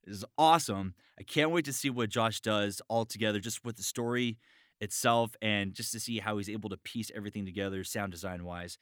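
A man's voice: on the low side, fairly quick, fairly bright tone, no echo, clean studio sound. A man chatting casually as host and voice actor, with an enthusiastic, encouraging, sweary manner. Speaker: interview show host and voice actor. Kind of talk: casual chat about voice acting.

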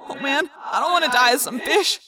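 Woman voicing a high, panicky wounded teenage boy. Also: lively intonation, unhurried, dry recording. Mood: frightened, despairing.

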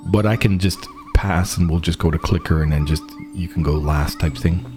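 A man's voice: sits low, normal speed, music in the background. A man running an online tabletop game as game master, narrating casually.

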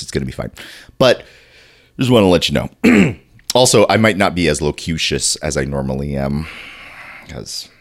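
A man with a deep, low voice, stuffy and congested from a chest cold, hosts a casual podcast. His pitch still swings up and down a lot as he rambles and restarts his sentences. He keeps clearing his throat. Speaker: podcast host.

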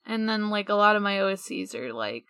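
A woman's voice: mid-range voice, big pitch swings, normal speed, sharp tone, smooth, no room sound, clean studio sound. A woman podcast co-host chatting casually in a hesitant way, often trailing off and swearing offhandedly. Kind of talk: casual chat.

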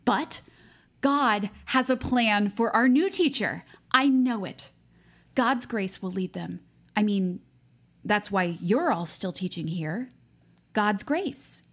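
A woman playing a pious, bossy high school girl in an audio drama, earnest and insistent. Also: slow pace, a bit bright. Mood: righteous, earnest. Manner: earnest, insistent, self-righteous. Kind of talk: naturalistic audio drama acting.

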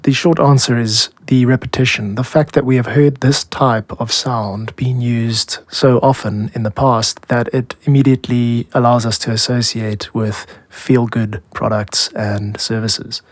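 A man with a South African accent hosting a podcast, explaining in a measured, scripted style. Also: medium pitch, fairly even intonation, no echo. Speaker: podcast host, music composer and producer.

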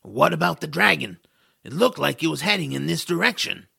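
A man playing a grumbling, pessimistic male adventurer in a family audio drama, gravelly and dry with a grouchy delivery. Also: a little high, very animated, brisk, pushed and bright, dry recording, full character voice. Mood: gloomy. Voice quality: gravelly, raspy. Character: grumbling, sarcastic, pessimistic male travelling companion.

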